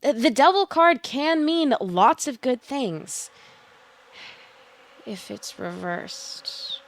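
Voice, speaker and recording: A woman's voice: high voice, sing-song, drawn-out words, slight background noise, heavily acted. A woman playing a blunt, slyly coaxing tarot reader in an audio play, with a theatrical manner and pet names for her client. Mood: wary, defiant. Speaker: actor.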